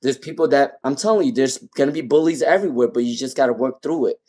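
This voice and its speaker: Tarot reader with a speech impairment, repeating himself. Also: male voice, mid-range voice, fast talker, mellow. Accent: non-native English. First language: not English. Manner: repeats names and questions while pulling cards. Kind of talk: live-stream tarot reading.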